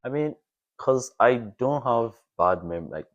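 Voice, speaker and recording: A man's voice: medium pitch, animated, unhurried, lo-fi sound, a little hiss or hum. A young man, a podcast guest chatting casually in a hesitant, self-effacing way. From Nigeria. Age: young adult. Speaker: podcast guest, university student. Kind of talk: casual chat.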